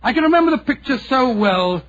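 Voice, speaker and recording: An actor playing Doctor Watson, a genial older British gentleman storyteller, avuncular and a little hesitant, in 1940s radio drama style. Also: very high voice, expressive, a little fast, slight background noise. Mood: reflective. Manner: avuncular, hesitant, old-fashioned phrasing. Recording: muffled vintage 1940s radio recording.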